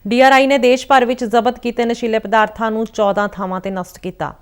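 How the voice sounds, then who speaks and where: A woman reading a regional television news bulletin in a steady, formal way. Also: a little high, brisk, clear, resonant tone. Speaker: news reader.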